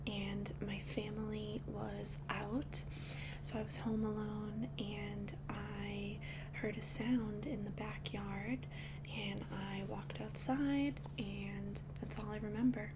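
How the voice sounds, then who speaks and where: A woman playing a centuries-old vampire who looks like a young adult, confiding casually in a breathy, hesitant ASMR whisper. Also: medium pitch, heavy background noise. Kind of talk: soft-spoken whispered ASMR roleplay.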